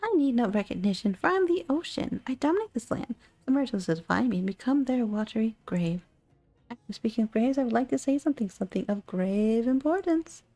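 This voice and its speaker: A woman's voice: a little high, expressive, brisk, warm tone, soft background music. A woman voicing a grandiose, pompous talking ocean in a dating sim game, declaiming like a ruler.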